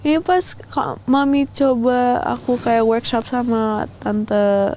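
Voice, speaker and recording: A young woman from Indonesia with a fairly high voice answers casually as a guest. She slips between English and Indonesian, short and offhand, with playful defiance. Faint ambience lies behind her. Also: slow, darkish tone, slight background noise. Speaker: guest, the host's daughter.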